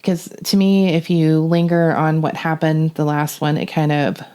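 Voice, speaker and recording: A woman's voice: sits low, fairly even intonation, moderate pace, warm tone, smooth. A middle-aged woman speaking plainly and matter-of-factly in a conversational interview as a police dispatcher describing her work.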